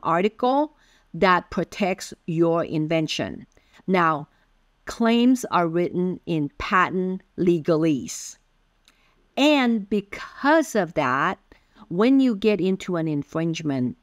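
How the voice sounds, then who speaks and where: This middle-aged woman from Taiwan, a native Hokkien speaker, talks slowly and deliberately in a somewhat low voice. She explains legal ideas step by step, filling gaps with soft "um"s. The sound is close and dry. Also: no echo. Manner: careful, measured explaining with frequent "um". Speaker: patent attorney guest. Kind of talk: interview explanation.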